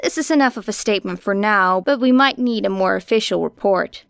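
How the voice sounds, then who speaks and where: A woman's voice: medium pitch, brisk, creaky, clean tone, no room sound, studio quality, natural voice. A woman voicing an easygoing, teasing male detective in a lifted, friendly voice.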